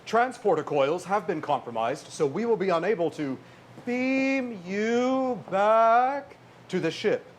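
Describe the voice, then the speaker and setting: Man playing a formal, higher-pitched starship first officer. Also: slow pace. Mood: formal, grave. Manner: formal, deadpan. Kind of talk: deadpan parody stage acting. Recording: live stage recording with clear noise.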